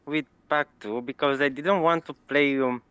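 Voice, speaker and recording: A man from Romania with a Romanian accent, answering interview questions in a halting way with dry humor. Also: on the high side, steady tone, fairly bright tone, clear, resonant tone, no echo. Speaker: guest player.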